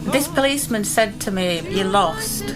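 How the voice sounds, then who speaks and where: A woman's voice: mid-range voice, expressive, moderate pace, loud music behind the voice. A middle-aged or older woman, reflecting with feeling on her past. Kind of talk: reflective, heartfelt reminiscence.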